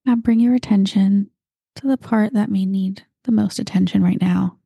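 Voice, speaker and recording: A woman guiding a meditation, talking slowly and calmly and at times dropping into breathy whispers. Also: mid-range voice, dry recording. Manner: slow, soft and calm, drifting into breathy whispers. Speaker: meditation guide. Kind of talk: guided meditation.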